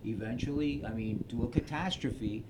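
A man's voice: medium pitch, moderate pace, background noise. A man, a shop co-owner telling stories and acting out quoted dialogue in a casual interview. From Long Beach, New York. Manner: storytelling, acts out quoted dialogue.

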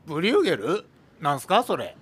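An actor playing an eager, deferential young underling in a radio drama, with a polite, overearnest manner. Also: male voice, a little high, wildly swooping pitch, brisk, a bit bright, breathy edge, exaggerated voice. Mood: puzzled. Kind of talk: modern radio drama acting.

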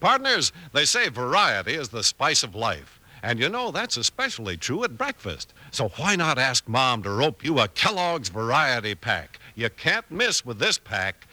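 Very bright, animated American radio announcer. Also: male voice, medium pitch, moderate pace, few pauses, dry recording. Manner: punchy, salesmanlike. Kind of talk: 1950s radio announcing and advertising.

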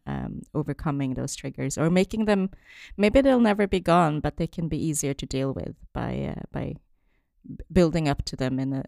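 A woman's voice: low-pitched, vocal fry, darkish tone, no room sound, studio quality. A woman from Norway in a casual workplace discussion, talking thoughtfully, correcting herself and laughing often.

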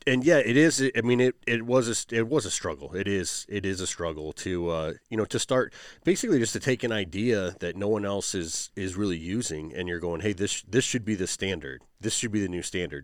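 A man hosting a casual interview, speaking in a relaxed, affirming way. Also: low-pitched, no echo, studio quality. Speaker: host. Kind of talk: casual conversation.

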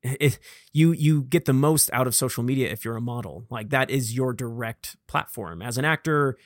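A man's voice: mid-range voice, normal speed, no room sound, clean studio sound. Young male podcast host, Californian accent, casual interviewing style, very little room sound. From Vacaville, California.

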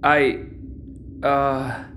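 A woman's voice: very deep voice, steady tone, very slow, a bit bright, a little hiss or hum, exaggerated voice. An actress playing a hesitant, confused teenage boy full of questions in an audio sci-fi drama. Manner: hesitant, unsure. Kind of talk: audio-fiction drama dialogue.

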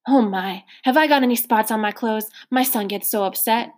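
A woman voicing a frail, gentle old man who talks in a polite, fussy, genteel way. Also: a little high, normal speed, lightly acted. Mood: courtly.

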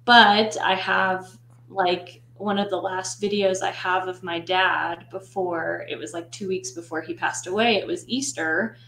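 A young woman speaks reflectively as a guest in a casual chat, her phrasing loose and full of fillers. Her voice sits on the low side, and the room adds a clear echo along with a faint hum. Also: fairly bright tone. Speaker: guest, content creator.

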